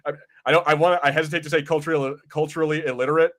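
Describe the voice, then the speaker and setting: A man hosting a casual pop-culture podcast, chatting in an animated way and arguing his point with casual swearing. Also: fairly high pitch, racing. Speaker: podcast host.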